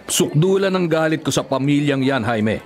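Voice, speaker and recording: A bitter older patriarch in a radio drama, with a somewhat high-pitched voice. He is stern and domineering, pressing his point like someone used to command. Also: expressive, moderate pace, a little mellow. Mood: angry, commanding. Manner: stern, insistent. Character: bitter, domineering older father, fallen ex-mayor.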